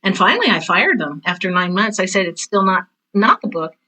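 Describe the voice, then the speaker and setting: A woman's voice: medium pitch, very animated, brisk, bright, forceful tone, low-quality recording. A middle-aged or older woman telling personal stories as an interview guest, candid and emphatic, repeating phrases for stress. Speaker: interview guest, theater teacher and author. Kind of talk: casual personal storytelling in an interview.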